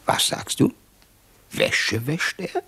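An actor playing a gruff but jovial, teasing caretaker and father in a German radio play, with a hearty, folksy, grumbling manner. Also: takes it slowly, bright, forceful tone. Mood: booming, amused.

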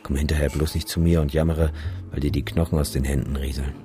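An actor playing a cynical, bleak photographer and disaster witness in a radio drama, sardonic and matter-of-fact. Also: male voice, a bass voice, little pitch movement, quick pace, warm tone, soft background music. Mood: grim, matter-of-fact. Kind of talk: radio drama monologue and dialogue.

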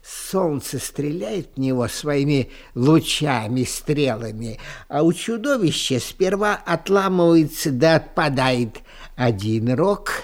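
Kindly grandpa storyteller for a children's radio play: unhurried, folksy, highly animated delivery. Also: male voice. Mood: awed, dramatic. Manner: folksy, drawn-out, dramatic storytelling with sighs and exclamations. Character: kindly old grandfather telling folk tales. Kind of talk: expressive fairy-tale radio play acting.

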